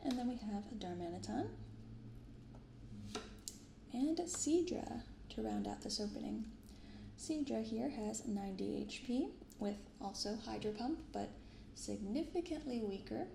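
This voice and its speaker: Female ASMR host, gentle and casual, gravelly fry, roomy binaural recording with audible noise. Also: mid-range voice. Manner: soft, gentle, unhurried delivery. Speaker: host of a card-opening ASMR channel. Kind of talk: soft-spoken ASMR, casual commentary. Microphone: binaural microphone. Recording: roomy echo, clearly audible background noise.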